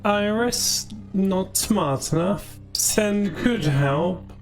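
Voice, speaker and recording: Man voicing a halting, failing female robot, high. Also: expressive, over music, full character voice. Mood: suffering. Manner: halting, drawn-out delivery. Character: ailing, rude female robot struggling to speak.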